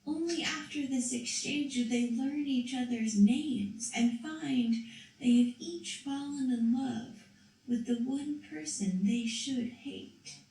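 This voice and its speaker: A woman's voice: high-pitched, takes it slowly, mellow, far from the mic. A woman narrating a story for a school puppet show, reading carefully with a few restarts. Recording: echoey room, voice from off-mic.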